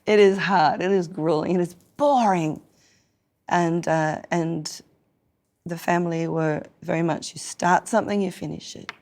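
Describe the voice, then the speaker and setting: Croaky Australian woman, playful storyteller. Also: lowish voice, steady tone, measured pace, a little mellow. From Newcastle, Australia. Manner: playful, theatrical storyteller with frequent laughs. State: hoarse, croaky after a gig while sick. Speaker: guest performer. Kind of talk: casual interview chat.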